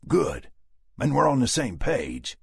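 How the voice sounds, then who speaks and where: A man voicing a gruff, sardonic southern man who speaks in a slow, sneering Southern US drawl. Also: medium pitch, huge pitch swings, no echo, clean studio sound, voice pushed up, full character voice.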